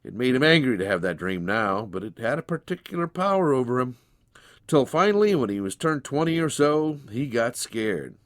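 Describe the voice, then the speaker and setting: A man narrates a storybook tale in a folksy way. His pitch moves up and down with lively expression. The sound is dry, with very little room in it. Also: normal speed, no echo.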